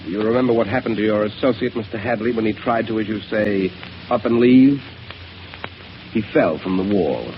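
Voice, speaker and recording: Icy mastermind in a vintage radio play, played by a man: low, quiet threats, dull hissy recording. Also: moderate pace, natural voice. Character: cold, ruthless male villain mastermind. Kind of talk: 1930s radio drama acting. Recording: dull old radio broadcast with hiss.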